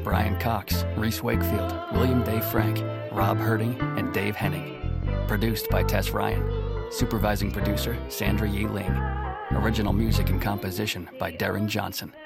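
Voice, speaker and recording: A male announcer delivers scripted credits in a deep voice with heavy fry. Loud music plays throughout behind him. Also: flat delivery, moderate pace.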